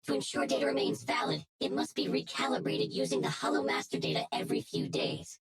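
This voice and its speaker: An actress playing a menacing, smug female hacker in a video game, taunting and commanding, with a breathy, gravelly edge. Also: sits low, heavily acted. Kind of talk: English video game voice acting.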